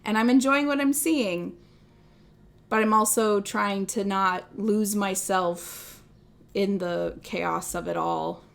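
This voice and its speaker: A woman's voice: medium pitch, steady tone, slow pace, vocal fry. Young midwestern woman streaming, rambly, jokes at her own expense, laughs a lot. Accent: Midwestern American. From American Midwest. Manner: rambling, self-deprecating, laughs often. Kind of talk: casual livestream chat.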